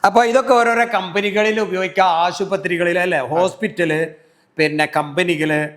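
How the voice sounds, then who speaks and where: Middle-aged man, slightly high voice, friendly interviewer who keeps prompting. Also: fairly even intonation, normal speed, fairly bright tone. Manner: chatty, prompting with tag questions. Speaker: host interviewing a shop seller. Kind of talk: casual promotional interview.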